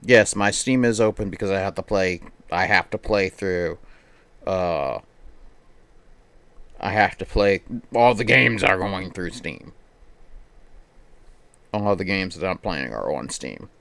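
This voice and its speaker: A man streams games in a smooth, clean voice with a dry, close sound. He talks at an unhurried pace, rambling casually as he thinks aloud and circles back over his own phrases. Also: low voice, a bit bright, no room sound. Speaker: game streamer. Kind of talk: casual livestream chat while setting up a game.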